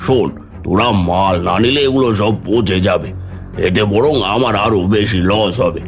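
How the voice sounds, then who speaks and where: A man's voice: on the low side, normal speed, close to an everyday voice. Man acting a commanding village boss, cartoon. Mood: stern, dismissive. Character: village headman, and a greedy gruff male wholesale merchant.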